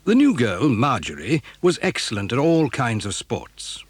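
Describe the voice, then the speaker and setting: A man's voice: medium pitch, big pitch swings, measured pace, husky, dry recording. A man narrating a scripted children's story.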